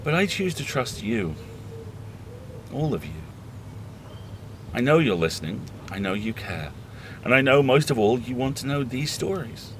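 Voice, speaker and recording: A man playing a weary, reflective horror host, speaking intimately to listeners in a deliberate, halting, earnest audio drama monologue. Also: medium pitch, expressive, a little hiss or hum, close to an everyday voice. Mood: trusting, resolute.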